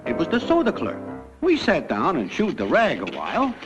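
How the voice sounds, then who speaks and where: A man's voice: on the high side, huge pitch swings, normal speed. An American actor playing a brash young newcomer to the afterlife, breezily telling his life story in slang. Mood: matter-of-fact, jaunty. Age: young adult. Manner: breezy, wisecracking, packed with idioms. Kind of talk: classic cartoon comic narration. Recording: dull lo-fi cartoon soundtrack, loud music.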